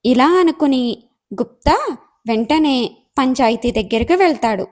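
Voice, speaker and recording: Female storyteller, slightly high voice, scripted moral-tale narration. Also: lively intonation, unhurried, a little mellow.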